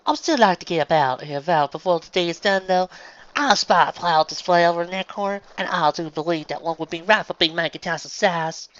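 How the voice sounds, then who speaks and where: Man doing a folksy farm mare, pitched up. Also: a little fast, fairly bright tone, lo-fi sound, natural voice. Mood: reluctant, stiff. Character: plain-spoken country farm mare straining to sound refined.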